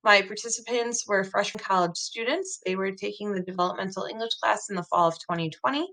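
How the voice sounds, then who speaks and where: A woman's voice: mid-range voice, fairly quick, pushed and bright, low-quality recording. A young adult woman whose first language is Croatian, earnestly presenting her research study over slides, recorded on a laptop webcam. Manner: earnest, explanatory, frequent "um" fillers. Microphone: laptop webcam video call.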